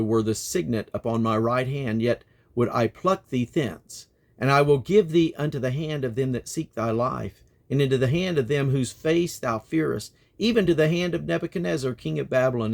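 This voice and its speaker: A man reading scripture aloud in a solemn, declamatory manner, with measured phrasing and plainly spoken references. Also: mid-range voice, gravelly. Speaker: Bible reader and host.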